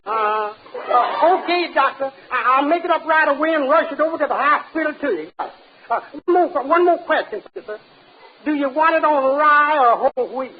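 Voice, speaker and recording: Casting: brash incompetent druggist, extremely high voice, blustering and folksy, hissy old radio sitcom recording. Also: male voice, expressive, moderate pace, a loud crowd. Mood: self-important. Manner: blustering, self-important, folksy. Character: cranky bluffing male drugstore clerk, brashly incompetent pharmacist. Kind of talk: 1950s radio situation comedy acting. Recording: muffled old radio recording, clear hiss.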